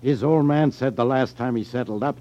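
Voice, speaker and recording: A man's voice: mid-range voice, brisk, dark tone, natural voice. An actor playing a dim, grumbling gangster henchman in 1950s radio drama, blunt and slangy, stammering when puzzled. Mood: doubtful, grumbling. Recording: dull 1950s radio broadcast recording.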